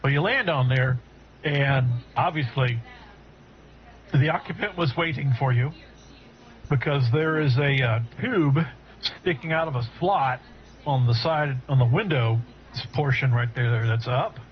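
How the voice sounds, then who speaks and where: A man narrating a tabletop game as game master, halting, with many fillers and restarts. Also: medium pitch, a little hiss or hum. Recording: dull lo-fi audio.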